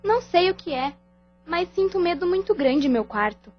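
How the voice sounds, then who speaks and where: Female actor, fearful girl, high-pitched, timid, audio drama, dull sound, light music behind. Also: expressive, normal speed. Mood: frightened. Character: timid, frightened young daughter seeking her parents.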